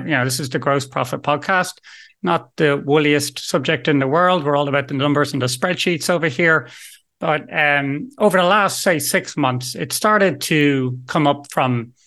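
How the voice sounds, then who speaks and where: A man's voice: on the high side, moderate pace, pushed and bright. A man hosting a business podcast, introducing his guest in a friendly, upbeat way with loose asides. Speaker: podcast host.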